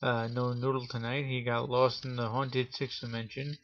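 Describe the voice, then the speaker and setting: A man hosting a Halloween story show, telling a spooky tale in a theatrical showman's manner with drawn-out pauses. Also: mid-range voice, flat delivery. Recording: dull lo-fi audio with clear noise.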